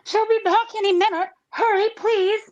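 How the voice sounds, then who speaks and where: A woman's voice: extremely high pitch, steady tone, normal speed, highs cut off. A woman playing an earnest, anxious young girl held captive in a comic radio play.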